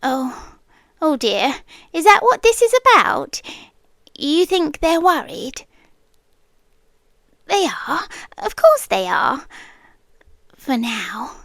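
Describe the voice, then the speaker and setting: Woman as a slow, high-voiced British yandere sea spirit. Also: sing-song, fairly bright tone, dry recording, exaggerated voice. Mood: possessive, adoring, then soft menace. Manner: slow, coaxing, often dropping into breathy whispers. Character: possessive inhuman sea-spirit yandere girlfriend, sweetly menacing. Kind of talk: intimate ASMR roleplay. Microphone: close microphone, dry.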